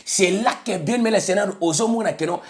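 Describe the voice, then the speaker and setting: A man's voice: high-pitched, brisk. A man from Congo with a Congolese French accent, preaching an emphatic sermon in which he keeps repeating a set phrase. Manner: emphatic, repeats "bien aimé le Seigneur", switches between French and Lingala.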